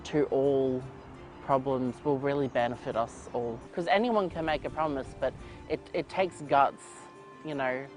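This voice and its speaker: A nineteen-year-old man from northern Australia, with an Australian accent, answers informally in an interview. Clear music runs behind him. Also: medium pitch. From Cairns, Australia. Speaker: young voter interviewee.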